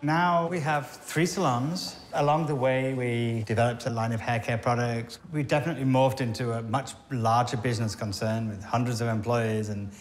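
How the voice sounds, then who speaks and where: A man who owns a business speaks plainly and in a businesslike way. His voice sits at an ordinary middle pitch. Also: steady tone, normal speed, few pauses. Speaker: business owner.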